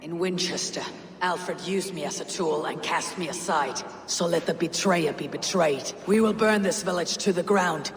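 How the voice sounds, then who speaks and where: An actor playing a stern, blunt Viking warrior leader who speaks grimly and firmly, in a video game. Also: male voice, a little high, moderate pace, a bit bright, long reverb tail, slight background noise. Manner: grim, firm.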